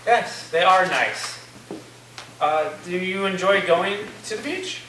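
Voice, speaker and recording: A man's voice: sits high, expressive, slow, bright, forceful tone, heavily acted. A man playing a polite, awkward young new college student in a staged reading, speaking hesitantly. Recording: very echoey, distant recording with faint noise.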